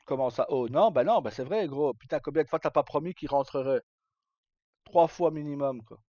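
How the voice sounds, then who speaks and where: A man's voice: fairly high pitch, moderate pace, warm tone, dry recording. A middle-aged man talks casually on a livestream in an informal, rambling and hesitant way. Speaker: live streamer. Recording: dull, lo-fi sound.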